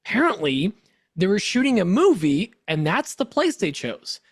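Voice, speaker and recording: A man co-hosting a podcast, telling stories in an animated, giggly way. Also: sits high, moderate pace, no echo, studio quality. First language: Polish. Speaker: podcast co-host. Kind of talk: casual chat.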